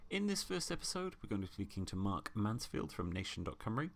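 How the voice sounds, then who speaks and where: A man hosting a casual podcast interview, chatty and quick to laugh. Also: on the low side, creaky. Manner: chatty, laughs easily, lively delivery. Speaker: podcast host.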